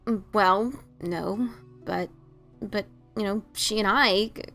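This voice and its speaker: A woman's voice: mid-range voice, slow pace, vocal fry, fairly bright tone, faint music behind. A woman playing a brash but self-doubting pegasus pony adventurer, with hesitant, stammering, casual delivery in an audio drama. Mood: anxious, confessing. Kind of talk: audio drama dialogue of fan fiction.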